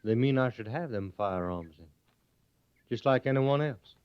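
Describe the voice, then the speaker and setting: An actor playing a defiant, needling prisoner turned private in an old radio western, with a folksy drawl. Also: male voice, mid-range voice, expressive, unhurried, smooth, no room sound, natural voice. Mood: insistent. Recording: muffled vintage radio recording.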